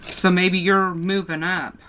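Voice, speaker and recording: A woman's voice: lowish voice, flat delivery, slow, fairly bright tone, slight background noise. A woman with a sore throat giving a casual tarot reading, talking straight to her viewers and often restarting her sentences. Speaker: tarot reader.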